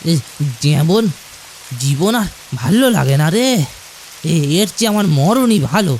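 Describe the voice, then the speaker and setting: A man's voice: high-pitched, lively intonation, measured pace, a little mellow, slight background noise. A man voicing a poor, self-pitying fisherman in a fairy-tale cartoon, lamenting and hesitant. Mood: despairing.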